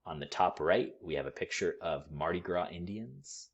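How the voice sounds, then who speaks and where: Deep-voiced, expressive male storyteller. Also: normal speed, breathy edge. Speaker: school read-aloud storyteller. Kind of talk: expressive picture-book reading for children.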